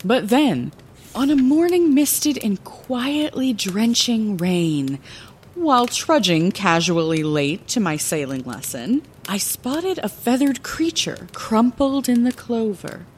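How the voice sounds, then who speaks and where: A woman's voice: fairly high pitch, sing-song, takes it slowly, slight background noise. An actress playing a fond, playful middle-aged woman lighthouse keeper, telling her past as a theatrical bedtime story in a fantasy audio drama. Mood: wistful, vivid. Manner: theatrical, wordy, chatty asides.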